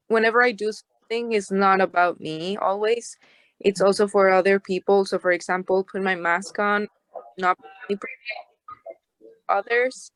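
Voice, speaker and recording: Smooth-voiced young woman, dry sound. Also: medium pitch, a bit bright, no room sound, poor audio. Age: 20s. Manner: earnest, searching for words. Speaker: young adult panelist. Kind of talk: casual chat. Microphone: video call.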